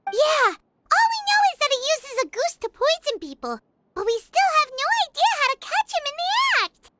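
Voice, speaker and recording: A woman voicing a tiny, excitable childlike companion creature with a squeaky voice in a video game. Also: normal speed, a little hiss or hum, full character voice. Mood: urgent, excited.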